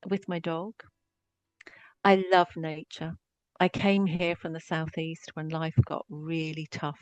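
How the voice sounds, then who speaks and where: A woman's voice: fairly low pitch, dry recording. A woman in her sixties with an English accent, a psychotherapist talking openly and chattily about herself as a podcast guest. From Scotland. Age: 60s. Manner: warm, open and chatty, self-deprecating. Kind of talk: casual podcast conversation.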